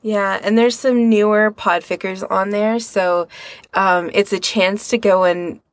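A woman's voice: mid-range voice, steady tone, a little slow, no echo. A woman co-hosting a podcast, explaining things in an informal, conversational way. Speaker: podcast co-host. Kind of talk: casual podcast chat.

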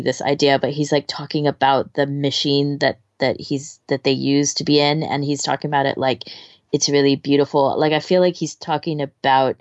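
A woman's voice: low-pitched, darkish tone, no echo, lo-fi sound. A woman in casual, informal chat as a podcast co-host, rambling and hesitant as she gives recommendations, often trailing off. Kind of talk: casual chat, recommending fan fiction audio.

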